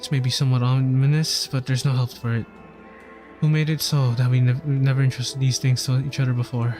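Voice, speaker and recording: A male game streamer reads story text aloud in an earnest way. His pitch is average for a man, and he sometimes stumbles over a word. Faint game music plays behind him. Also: flat delivery, fairly quick, a little mellow. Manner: earnest, stumbles over words while reading.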